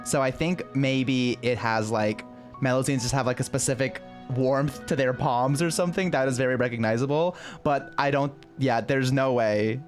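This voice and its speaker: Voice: mid-range voice, steady tone, normal speed, soft background music. A game streamer giving casual playthrough commentary, informal and slangy, musing aloud.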